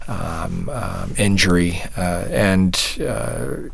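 Low-voiced halting man, dry studio. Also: vocal fry, a bit bright, slightly rough, dry recording. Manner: halting, many restarts and fillers. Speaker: photojournalist guest. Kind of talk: radio interview answers.

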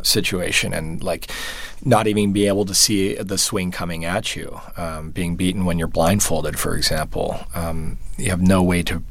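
A man being interviewed as a photojournalist, answering thoughtfully and haltingly, with many restarts and fillers. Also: low voice, vocal fry, dry recording. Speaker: photojournalist guest.

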